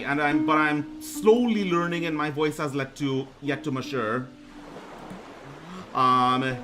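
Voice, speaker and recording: A young man with a baritone voice introducing himself on his debut stream, talking haltingly with lots of ums and restarts. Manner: hesitant, many ums and restarts. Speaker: VTuber streamer. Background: music playing.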